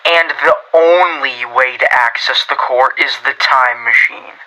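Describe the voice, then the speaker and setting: A man performs a male workplace trainer in an amateur story audio log. His voice is mid-pitched and strikingly bright and forceful. He explains things like an informal lecturer, casual and a little hesitant in his asides. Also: measured pace, low-quality recording. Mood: earnest, explanatory. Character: casual male scientist training a new worker. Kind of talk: in-character explainer monologue, conversational lecture.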